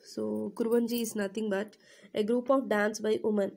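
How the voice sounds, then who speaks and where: A woman's voice: mid-range voice, little pitch movement, normal speed, vocal fry, darkish tone. A woman teacher with a cold, explaining a lesson to her class with many fillers and checking questions. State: has a cold. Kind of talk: classroom lecture, reading then explaining.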